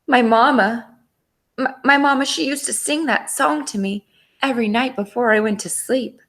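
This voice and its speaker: A woman's voice: high-pitched, normal speed, fairly bright tone, pitched above the performer's own voice. A woman voicing a sly, hungry, sentimental big bad wolf in a children's storybook. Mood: wistful.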